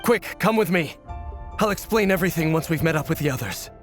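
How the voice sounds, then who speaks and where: An urgent, commanding man played by a male actor in a video game. His voice is pitched high, and music plays clearly behind it. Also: expressive, brisk, close to an everyday voice. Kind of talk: dramatic video game voice acting.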